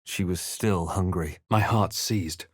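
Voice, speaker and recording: Brooding male game hero, grave storyteller delivery, lowish pitch, close dry sound. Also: slow, no room sound. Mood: grave, dramatic. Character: male adventurer hero, composed, brooding, recounting his journey. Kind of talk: video game voice lines, dramatic first-person narration.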